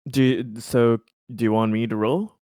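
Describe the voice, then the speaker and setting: A man's voice: mid-range voice, steady tone, moderate pace, dark tone, smooth, no echo, studio quality. A man playing a tabletop roleplaying game, chatting casually as a player.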